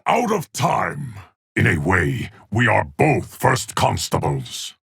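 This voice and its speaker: A man playing a stern, ancient keeper of time in a fighting video game, with a gravelly, husky voice and a formal, portentous delivery. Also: lowish voice, huge pitch swings, slow pace, fairly bright tone, no echo, clean studio sound, full character voice. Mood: commanding, ominous. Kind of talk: dramatic fighting game character lines.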